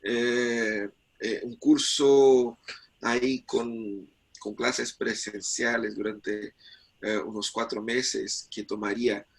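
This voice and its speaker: Husky Brazilian-born man lecturing. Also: mid-range voice, fairly even intonation, takes it slowly. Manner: hesitant, many fillers and restarts. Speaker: Bible teacher. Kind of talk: online class lecture.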